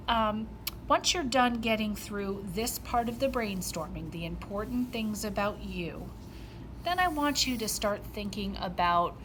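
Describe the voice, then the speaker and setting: A middle-aged woman teaching an art lesson, talking gently and patiently with plenty of ums. Her voice sits at an ordinary pitch. A clear background noise runs under her words. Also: poor audio. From Rhode Island, USA. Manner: gentle, step-by-step explaining with frequent ums.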